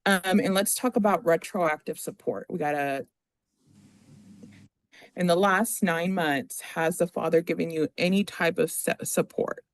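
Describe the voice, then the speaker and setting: Gravelly-voiced attorney in court. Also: medium pitch, fairly even intonation, unhurried, no echo. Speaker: attorney questioning a witness in court. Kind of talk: formal courtroom questioning and reading of requests.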